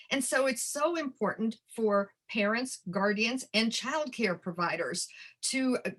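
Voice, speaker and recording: Bright-voiced older woman presenting. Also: mid-range voice, slightly rough. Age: 60s or older. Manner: thankful, addresses listeners directly, emphatic key phrases. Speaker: educator, webinar guest presenter. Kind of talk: webinar presentation to childcare providers.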